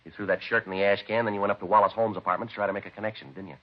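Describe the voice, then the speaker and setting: A man's voice: lowish voice, fairly even intonation, very fast, natural voice. A man playing a terse, methodical police detective in a 1950s radio drama, matter-of-fact and unbelieving as he questions a suspect. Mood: dry, accusing. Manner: clipped, matter-of-fact interrogation. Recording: dull vintage radio recording.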